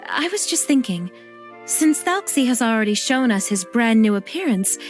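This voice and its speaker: Gentle, higher-voiced young mother. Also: sing-song, faint music behind. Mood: warm, polite. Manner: courteous, measured, kindly. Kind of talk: video game voice acting.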